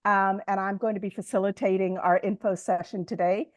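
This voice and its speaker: A woman facilitating a webinar over a video call, introducing the speakers in a halting way with many fillers. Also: mid-range voice, steady tone, dry recording. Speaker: session facilitator. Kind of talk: hosting a webinar. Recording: dull lo-fi call audio.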